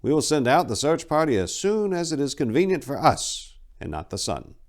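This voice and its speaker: A man voicing an ancient, wizened male abbot who speaks in a measured, solemn, questioning way for a fantasy story. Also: a little high, very animated, pitched above the performer's own voice. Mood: calm, welcoming. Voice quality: wizened, aged voice.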